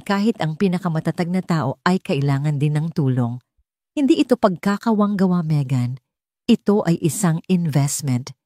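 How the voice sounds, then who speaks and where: A calm billionaire hero of a romance audiobook, voiced by a woman narrator. The delivery is gentle and restrained, with a Filipino accent, in a lowish voice and a dry recording. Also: dry recording.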